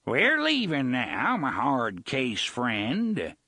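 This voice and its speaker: Un hombre que interpreta a un viejo pirata lascivo y amenazante, que canturrea con jerga anticuada. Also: male voice, on the high side, wildly swooping pitch, unhurried, vocal fry, sharp tone, breathy edge, dry recording, studio quality, voice pushed up, full character voice. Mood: menacing, crooning. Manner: crooning, wheedling, with old-fashioned slang. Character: leering old male pirate, menacing and sly.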